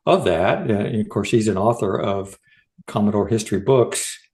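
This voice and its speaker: A man's voice: fairly low pitch, lively intonation, normal speed, darkish tone. A middle-aged American man, a podcast host, talking informally in a casual discussion. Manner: informal, conversational.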